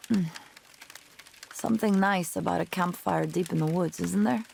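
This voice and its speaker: A woman's voice: lowish voice, normal speed, vocal fry, a little mellow, a little hiss or hum. A woman playing an easygoing, wry young female student in a visual novel, with a casual, understated, natural delivery. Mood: relaxed. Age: young adult. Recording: music behind the voice. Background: background music.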